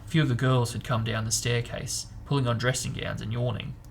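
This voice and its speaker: Male host and reader, fry-heavy mid-range voice, relaxed book-club format, some background noise. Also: mid-range voice, fairly quick. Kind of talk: storybook narration and casual book chat.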